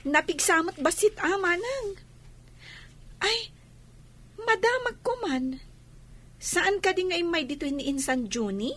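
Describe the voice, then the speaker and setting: A woman's voice: extremely high pitch, expressive, slow pace, pauses often, a bit bright, exaggerated voice. A woman playing a persuasive boutique-owning cousin in a village comedy radio drama, coaxing and pleading as she talks. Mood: curious, chatty. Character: persuasive, coaxing female cousin who runs a town boutique.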